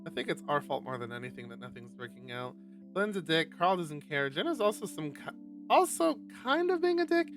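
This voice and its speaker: A man streaming a visual novel, reading it aloud and commenting casually and expressively, thinking out loud and swearing. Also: fairly high pitch, very animated, a little fast. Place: live game stream. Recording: distant mic, music over voice.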